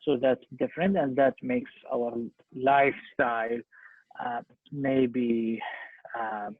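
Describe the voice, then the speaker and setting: A young man with a Syrian Arabic accent answering interview questions as a physician, with husky, halting speech and repeated words. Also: medium pitch, steady tone. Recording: muffled phone-like internet call, echoey room.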